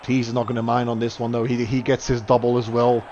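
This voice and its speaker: A man's voice: medium pitch, completely flat delivery, quick pace, dark tone, highs cut off, slight background noise. A young man commentating a live online match in a chatty way, voice jumping up on goals and saves. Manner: chatty, rises sharply on goals and saves, fillers and restarts.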